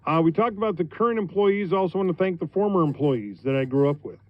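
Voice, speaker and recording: A middle-aged man with an American accent, a radio host talking on air in an informal, conversational, halting way. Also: high voice, no echo, lo-fi sound. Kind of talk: informal on-air thank-you speech. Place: radio studio.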